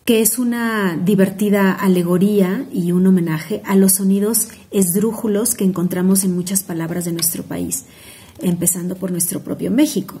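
Mexican woman reciting poetry expressively. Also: medium pitch. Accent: Mexican Spanish. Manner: expressive, rhythmic, savoring each word. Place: home room indoors.

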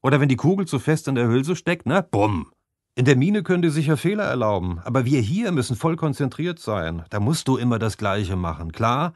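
A man's voice: medium pitch, very animated, a little fast, a little mellow, no echo, clean studio sound, close to an everyday voice. A man voicing a cocky teenage apprentice boy who lectures boastfully about his work.

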